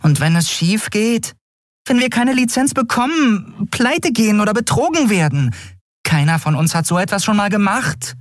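A man voicing a small winged female companion in a fantasy audiobook, sceptical, pointed and teasing, quick to take offence. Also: high voice, lively intonation, dry recording, clean studio sound, voice pushed up. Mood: worried, pointed.

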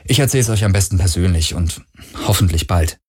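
A man's voice: low-pitched, fairly quick, darkish tone, husky. A man voicing a nervous young pilot who rambles with fillers. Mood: rambling. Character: young male pilot, nervous, joking, restless.

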